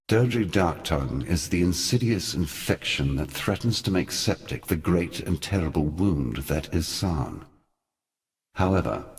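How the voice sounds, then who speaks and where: An actor playing an ancient, brooding god in a fantasy game, speaking in solemn, ornate and deliberate phrases like a theatrical monologue. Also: male voice, low voice, gravelly, exaggerated voice. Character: ancient grave god, former lover of gods, brooding and eloquent.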